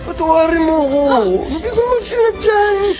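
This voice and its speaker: A man's voice: extremely high pitch, lively intonation, brisk, loud music behind the voice, a loud crowd. A Filipino man acting in a radio drama as a sly, manipulative younger lover who drinks and turns on false sweetness. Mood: pleading. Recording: dull lo-fi audio with clear noise, hum.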